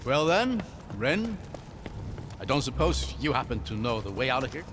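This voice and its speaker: An actor playing a courteous, wry older scholar-traveler in a cinematic video game, talkative and good-humoured. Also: male voice, mid-range voice, moderate pace, noisy background, lightly acted. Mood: affable, wry. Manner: courteous, wry, expressive storytelling delivery. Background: background music.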